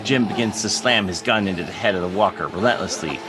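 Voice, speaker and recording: Very deep-voiced male narrator. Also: fast talker. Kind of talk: scripted narration. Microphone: video call. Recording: video call under clear music.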